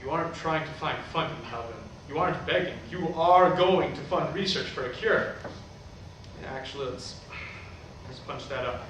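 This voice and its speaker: A man's voice: on the high side, moderate pace, a bit bright, lightly acted. An actor playing a stern, evasive middle-aged preacher father with a curt manner in a staged reading. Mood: businesslike. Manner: curt, dismissive. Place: indoor theatre stage. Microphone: distant camera microphone. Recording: echoey stage recording, far off, noisy.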